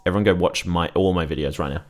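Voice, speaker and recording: Male gaming commentator: lowish pitch, casual, profane, rambling delivery with music behind. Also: quick pace. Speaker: gaming video creator.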